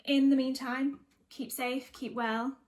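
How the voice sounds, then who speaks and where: A woman's voice: sits high, flat delivery, slow pace, darkish tone. Chatty young-sounding woman, informal. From North West of England. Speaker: singer-songwriter. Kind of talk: casual thanks and sign-off to online viewers. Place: at home.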